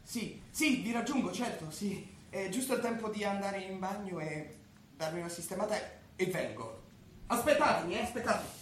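An actor playing a bashful, pretentious young amateur poet in a stage comedy, halting and self-conscious. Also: male voice, high-pitched, expressive, a bit bright. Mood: bashful, eager. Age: young adult. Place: theatre stage. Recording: echoey theatre recording, faint noise.

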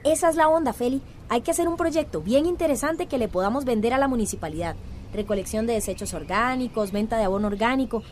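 A woman's voice: fairly high pitch, quick pace, darkish tone, slight background noise, lightly acted. A woman playing an enthusiastic community recycling activist in a radio drama, chatty and colloquial.

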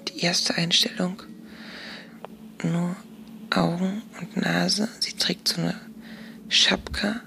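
An actress playing a drowsy woman who dictates her dreams in halting, breathy, croaky murmurs for a radio drama. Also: on the low side, level tone, bright, forceful tone, a little hiss or hum, heavily acted, rough recording. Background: music.

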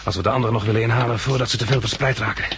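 A man's voice: mid-range voice, steady tone, rapid-fire, natural voice. An actor with a Netherlands Dutch accent playing a resolute, practical man who leads blind disaster survivors in a 1960s radio drama. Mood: urgent, alarmed. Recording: noisy, clicky 1960s radio recording.

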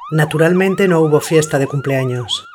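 A man narrating a scripted radio story in a measured, sober manner. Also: fairly high pitch, background noise.

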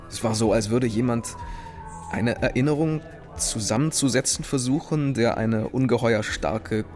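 An actor playing a reflective narrator, giving measured, literary scripted narration in a radio play. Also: male voice, medium pitch, natural voice. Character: reflective male narrator recalling a fading musician. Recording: music behind the voice.

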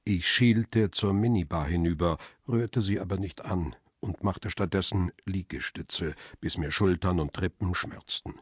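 An actor playing a world-weary middle-aged photographer who narrates a crime radio play in a measured, reflective way. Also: male voice, low-pitched, slightly rough, no echo, studio quality. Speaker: first-person narrator.

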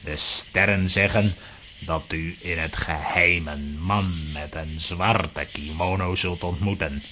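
An actor playing a grave fortune-telling astrologer in a children's audio play, ceremonious and portentous. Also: male voice, deep voice, steady tone, takes it slowly, sharp tone, a little hiss or hum, full character voice. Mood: solemn.

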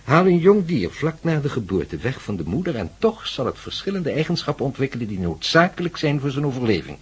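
A man's voice: medium pitch, sing-song, a little fast, slight background noise, natural voice. An actor playing a learned, fatherly older professor in a radio drama, speaking in a precise, didactic way. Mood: lecturing.

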